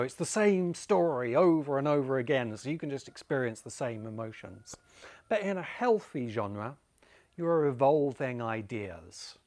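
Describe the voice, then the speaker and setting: A man giving an informal, opinionated explanatory talk, wandering through ideas and addressing the listener directly. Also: medium pitch. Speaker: video essay host. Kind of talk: informal explanatory monologue to camera. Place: home among rice fields in Bali.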